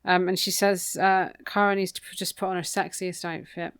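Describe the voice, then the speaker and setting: A woman's voice: on the low side, flat delivery, moderate pace, fairly bright tone, clean tone. A British woman co-hosting a podcast, casually recapping a book in an informal, gossipy, wry way. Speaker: podcast co-host.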